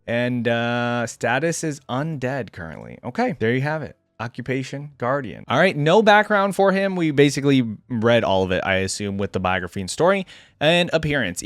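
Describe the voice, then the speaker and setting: A man with a mid-pitched voice hosts a casual reaction video, speaking straight to his viewers. He moves between reading aloud and chatty commentary. The sound is dry, with very little room in it. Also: dry recording. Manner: chatty, addresses viewers directly. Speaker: host of a game character reaction video.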